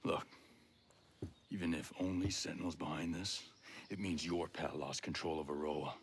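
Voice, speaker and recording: A determined soldier hero in a game cutscene. His voice is low, terse and serious, with faint noise behind it. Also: steady tone, normal speed. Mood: stern.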